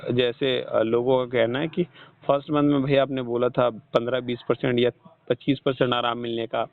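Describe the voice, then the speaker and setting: A man's voice: mid-range voice, level tone, brisk, no room sound. A man with an Indian accent explaining things in a didactic way as a health counsellor on a phone call, often repeating himself and checking understanding. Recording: muffled, band-limited phone call recording.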